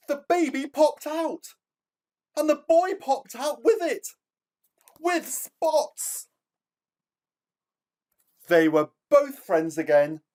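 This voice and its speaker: Guy doing kids storytime, really enthusiastic and theatrical, slow and very up and down. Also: extremely high pitch, a little mellow. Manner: enthusiastic, theatrical, emphatic delivery. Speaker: children's author reading a child's story aloud. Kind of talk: expressive storytelling to young children.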